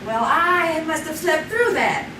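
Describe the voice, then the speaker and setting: Gracious mom , a woman plays her, lively high voice, echoey stage. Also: slow pace, heavily acted. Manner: polite, lilting. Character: gracious middle-aged mother. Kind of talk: live old-time radio acting. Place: live theater stage. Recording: echoey stage, distant mic, noisy.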